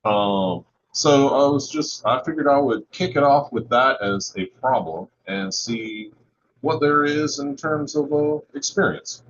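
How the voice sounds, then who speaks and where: A man's voice: mid-range voice, animated, measured pace. A man chatting casually as a guest panelist, hesitant and repeating words as he goes. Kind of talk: casual chat. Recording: dull lo-fi call with background noise.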